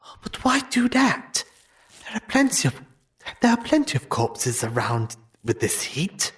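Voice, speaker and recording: An actress playing a deferential but curious Victorian dissection assistant in an audio drama, hesitant and measured. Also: female voice, on the low side, very animated. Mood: puzzled.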